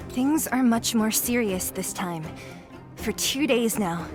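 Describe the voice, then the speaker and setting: A woman voice acting a brisk, blunt young fighter in a video game, curt and no-nonsense. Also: mid-range voice, lightly acted.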